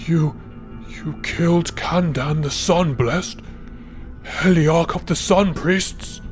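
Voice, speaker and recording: Man acting a high-voiced, pious, outraged priest. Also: expressive, measured pace, soft background music. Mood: horrified. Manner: stammering, exclamatory. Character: pious, hunched male sun priest, fearful, easily outraged.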